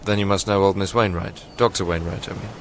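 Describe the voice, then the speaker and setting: A man's voice: low-pitched, steady tone, fast talker, slight background noise. An actor playing an educated young Englishman, wry and questioning, in naturalistic radio drama dialogue. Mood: sceptical, probing.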